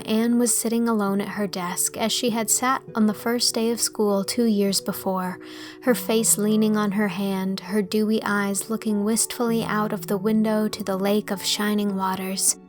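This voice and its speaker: A woman reading scripted storybook narration as an audiobook narrator. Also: mid-range voice.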